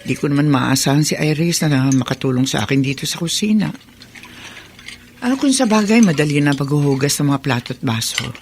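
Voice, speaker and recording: An actress with a Filipino accent playing a worried, hardworking mother of a teenager in a radio drama, gentle and placating. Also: low voice, expressive, a little fast, slight background noise. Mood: everyday concern.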